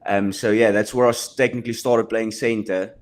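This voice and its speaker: A man's voice: on the low side, little pitch movement, normal speed. Modest young South African man, hesitant. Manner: modest, hesitant, many restarts. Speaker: rugby player guest. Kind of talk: casual podcast chat.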